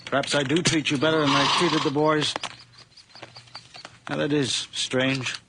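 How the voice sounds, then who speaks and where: An actor playing a kind, hesitant older farmer in a radio drama, gentle and fond of his hired man. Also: a little high, little pitch movement, measured pace. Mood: kindly. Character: kindly aging male farmer, employer. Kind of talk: 1980s radio drama acting. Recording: vintage radio broadcast with faint noise.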